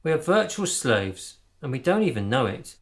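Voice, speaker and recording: A man's voice: medium pitch, very animated, normal speed. A man acting in a radio drama as a group leader turned earnest, preachy conspiracy zealot. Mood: fervent.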